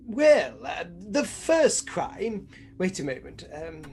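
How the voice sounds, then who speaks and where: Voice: medium pitch, very animated, slow pace, creaky, noisy background, exaggerated voice. A performer playing an earnest, bumbling detective's sidekick in a comedy radio play, with dry, hesitant asides. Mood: flustered.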